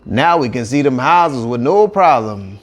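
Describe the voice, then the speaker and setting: A man's voice: medium pitch, very animated, measured pace, pitched below the performer's own voice. A man voicing a hearty, determined Cajun Santa Claus from a storybook, calling out to his team with a Cajun accent. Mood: pleased, businesslike.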